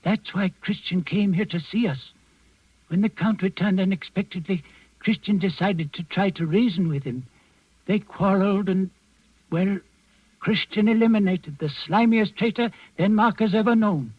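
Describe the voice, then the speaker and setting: An actor playing an old servant in the resistance, narrating deliberately and dramatically in 1940s radio style. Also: male voice, high-pitched, breathy edge, no room sound. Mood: grim, confiding. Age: older. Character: old servant confessing calmly to underground resistance work. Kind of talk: 1940s radio acting, first-person narration. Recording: muffled 1940s radio with faint music.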